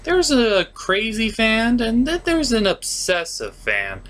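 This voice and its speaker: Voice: medium pitch, wildly swooping pitch, slow, fairly bright tone. A comedic review host who recaps and rants in a sarcastic, exasperated way with theatrical emphasis. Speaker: host of a cartoon review show.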